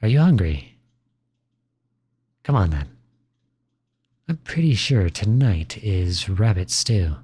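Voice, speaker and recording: A man playing a kindly, teasing outlaw in an intimate ASMR roleplay, gentle and reassuring. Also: on the low side, big pitch swings, slow, pauses often, slightly rough, full character voice. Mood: warmly inviting. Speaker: audio roleplay voice actor.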